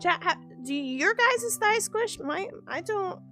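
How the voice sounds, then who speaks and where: A woman's voice: very high voice, animated, slow, sharp tone. A woman streamer chatting casually with her viewers, playful and giggly, repeating herself, groggy from just waking up. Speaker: VTuber streamer. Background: faint background music.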